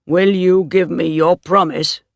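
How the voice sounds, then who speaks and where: A man reading the part of a middle-aged, lovesick farmer in an audiobook, speaking slowly and earnestly. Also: sits high, flat delivery, vocal fry, dry recording, studio quality. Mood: pleading. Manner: slow, earnest, pleading.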